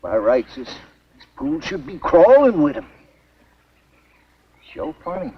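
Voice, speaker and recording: High-pitched uneasy Southern swamp man. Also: very animated, takes it slowly, husky, full character voice. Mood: wary. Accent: American South. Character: uneasy backwoods swamp poacher, folksy and wary. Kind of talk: 1950s film acting. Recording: noisy, muffled old film soundtrack.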